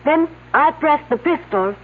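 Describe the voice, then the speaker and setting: Earnest lab assistant, high husky voice, stiff phrasing, anxious, tinny old radio drama. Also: female voice, animated, unhurried. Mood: tense, defensive. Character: anxious, earnest female scientist's assistant under questioning.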